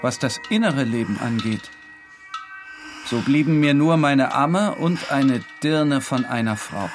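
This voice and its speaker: An actor playing an isolated, disdainful man who recounts his wretched life in measured, sombre literary narration. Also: mid-range voice, close to an everyday voice. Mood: measured recollection. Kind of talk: literary audio drama narration. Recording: music behind the voice.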